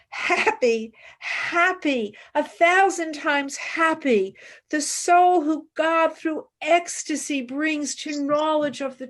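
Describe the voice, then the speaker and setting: Woman acting devout female mystic, high voice, very slow delivery, intense and reverent, formal radio play declamation. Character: fervent, devout woman philosopher turned ecstatic mystic.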